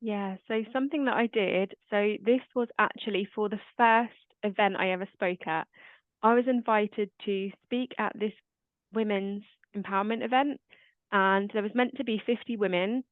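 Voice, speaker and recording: A woman hosting a casual chat in a naturally quiet, calming voice. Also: medium pitch, no room sound. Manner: quiet, calm voice. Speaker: host. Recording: dry, tinny phone-like line.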